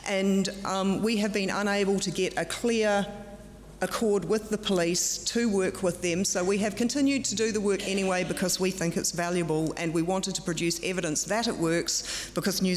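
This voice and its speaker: Middle-aged woman, podium microphone, conference room, long hall reverb, firm and direct. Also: medium pitch. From New Zealand. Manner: firm, direct and measured, with some fillers. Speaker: conference speaker. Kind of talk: formal presentation at a podium. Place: large conference room with audience.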